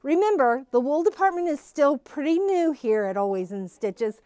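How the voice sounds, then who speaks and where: Bubbly high-voiced woman touring a quilt shop. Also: lively intonation, moderate pace, mellow, no room sound. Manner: bubbly, gushing enthusiasm. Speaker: quilt shop employee giving a shop update. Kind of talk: casual shop tour walkthrough. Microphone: phone camera following her, wearing a face mask.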